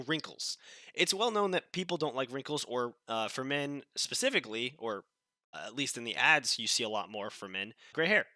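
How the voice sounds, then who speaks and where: A man's voice: a little high, sharp tone, dry recording. A young man with a stuffy, nasal voice from a cold, giving blunt, dismissive commentary as a channel host, often restarting his sentences. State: sick, nasal voice. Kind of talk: casual political commentary.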